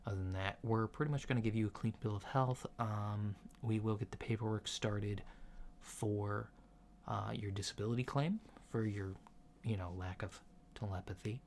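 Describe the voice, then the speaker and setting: A man playing a casual, reassuring alien doctor in a soft ASMR roleplay, speaking in a mild, hesitant, matter-of-fact way. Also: fairly low pitch, steady tone, moderate pace, natural voice. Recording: lo-fi with clear background noise.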